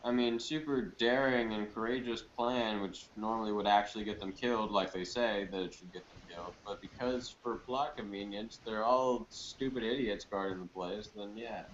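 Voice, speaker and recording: A man's voice: fairly low pitch, fairly even intonation, normal speed, few pauses. Slangy young man in an echoey room. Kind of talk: casual chat, TV episode review. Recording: roomy muffled mic, clear noise.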